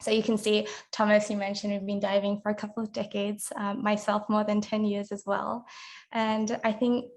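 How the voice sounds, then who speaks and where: Woman, guest speaker, relaxed chatty delivery, vocal fry. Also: mid-range voice, normal speed. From Singapore. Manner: relaxed, chatty, presenting slides. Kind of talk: casual presentation.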